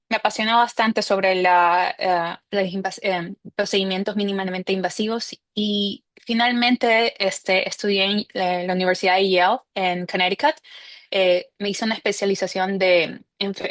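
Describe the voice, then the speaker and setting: A woman's voice: mid-range voice, no echo. Ecuadorian woman cardiologist, dry close sound. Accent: Ecuadorian Spanish. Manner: measured, with frequent "este" fillers and English terms mixed in. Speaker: cardiologist guest. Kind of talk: interview answers.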